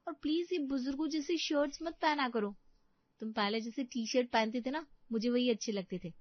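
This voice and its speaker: Female narrator as college-age girlfriend in an audio story: raised pitch, blunt, teasing, playful delivery. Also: a little fast, no room sound, lo-fi sound. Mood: complaining. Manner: teasing, outspoken.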